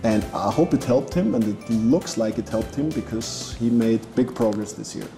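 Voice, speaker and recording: A man from Austria, a coach, answering interview questions in a relaxed, joking way with frequent restarts. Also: fairly low pitch, normal speed. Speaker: basketball coach. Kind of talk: casual interview. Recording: echoey room with music behind.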